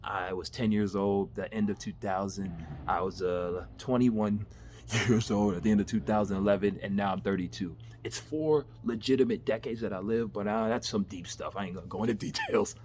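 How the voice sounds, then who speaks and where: A man's voice: on the low side, moderate pace, a little mellow, a little hiss or hum. A man in his thirties giving a candid, rambling motivational monologue in his backyard, laughing at himself and swearing casually. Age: 30s. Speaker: vlogger.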